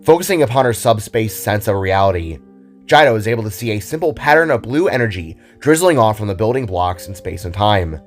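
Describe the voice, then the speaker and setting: A man reads scripted fiction as a narrator, in a dramatic storytelling style. His voice sits fairly low, and music plays behind it. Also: expressive, a little fast.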